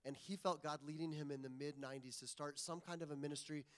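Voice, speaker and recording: A man's voice: on the high side, steady tone, fast, fairly bright tone, long reverb tail. A man in his forties from Indiana sharing an earnest personal testimony as a guest speaker, with lots of ums. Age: 40s. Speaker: guest ministry leader. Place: church sanctuary.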